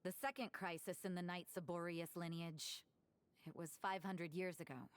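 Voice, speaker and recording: An actress playing a cool, worldly, sardonic nun in a video game, composed and knowing. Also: female voice, on the low side, fairly even intonation, normal speed, slight background noise, natural voice. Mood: explaining. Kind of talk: video game character dialogue.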